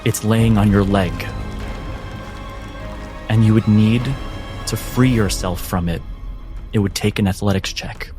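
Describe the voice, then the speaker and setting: A man narrating a tabletop game as game master, with deliberate phrasing and dramatic pauses. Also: fairly low pitch, fairly even intonation, music in the background.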